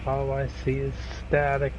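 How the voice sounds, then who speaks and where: A man's voice: mid-range voice, completely flat delivery, moderate pace, muffled recording. A man co-playing a game on a live stream, making dry offhand remarks. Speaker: co-player. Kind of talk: casual live-stream chat. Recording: noisy background.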